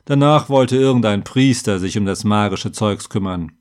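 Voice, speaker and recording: A man's voice: on the low side, normal speed, a little mellow, smooth, lightly acted. A man voicing a muscular, womanizing elite warrior in a fantasy story, talking in a blunt, offhand, self-assured way.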